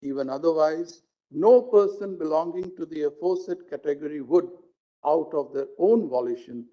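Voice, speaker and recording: An Indian man reads a court judgment in a formal, measured way. His voice is very soft-edged and dark, pitched a little high for a man. The audio is dull and lo-fi, like a live stream. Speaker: judge reading out a judgment. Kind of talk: formal reading of a legal judgment.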